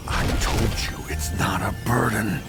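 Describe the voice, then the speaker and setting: An actor playing a devoted, remorseful monster village leader in a video game, speaking earnestly and emotionally. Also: male voice, fairly low pitch, unhurried, vocal fry, music in the background. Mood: tender. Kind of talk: dramatic video game cutscene acting.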